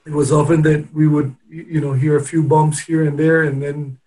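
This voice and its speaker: A man from Peru speaking as an interview guest, telling his story in a hesitant way with many pauses and restarts. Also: fairly high pitch, level tone, warm tone, poor audio. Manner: hesitant, with frequent restarts and pauses while recounting. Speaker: interview guest, company founder. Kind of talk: casual interview conversation.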